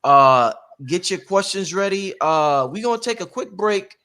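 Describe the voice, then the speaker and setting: A man's voice: high voice, lively intonation, measured pace, pushed and bright. A man hosting a live talk show, speaking casually and informally to his audience as family.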